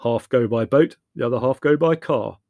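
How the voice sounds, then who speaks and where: Man acting blunt young man, forceful, practical, storybook character, close dry recording. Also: mid-range voice, moderate pace, no room sound, natural voice. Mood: interested, decisive.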